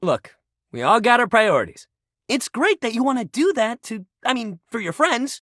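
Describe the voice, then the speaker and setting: A man's voice: extremely high pitch, big pitch swings, normal speed, sharp tone, dry recording, studio quality, exaggerated voice. A man voicing an upbeat, supportive fitness coach in a video game, talking in encouraging, casual bro slang. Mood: mildly exasperated. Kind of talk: video game character voice lines.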